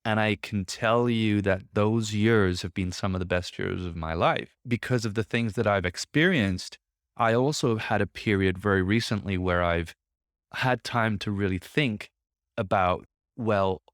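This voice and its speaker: Low-voiced man in his thirties, dry sound. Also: measured pace, no room sound. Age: 30s. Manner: conversational, reflective, self-coaching tone. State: slightly congested, throat a bit off. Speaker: podcast host. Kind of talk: solo podcast monologue. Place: office.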